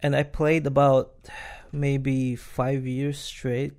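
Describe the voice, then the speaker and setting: A man hosting a podcast interview, asking questions in a halting way with many fillers and restarts. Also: medium pitch, level tone, a little mellow. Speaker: podcast host. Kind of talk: casual interview chat.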